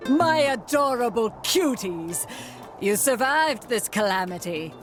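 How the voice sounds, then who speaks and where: A woman's voice: on the high side, expressive, slow pace, over music. A woman playing a sly fortune-teller sorceress in a cartoon game, grand, coaxing and falsely sweet. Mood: coaxing, gleeful. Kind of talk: exaggerated cartoon game acting.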